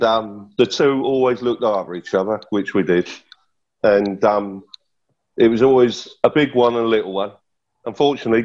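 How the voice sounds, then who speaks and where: A man's voice: fairly low pitch, darkish tone, muffled recording. A man, a former footballer, talking in a matter-of-fact, matey way. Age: 50s. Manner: matter-of-fact, matey, says "mate" a lot. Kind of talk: casual reminiscing chat.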